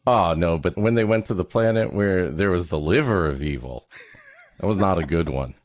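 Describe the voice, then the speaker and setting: A man's voice: sits low, normal speed. A man hosting a comedy podcast, mixing casual joking banter with mock-florid, dramatic reading of a story.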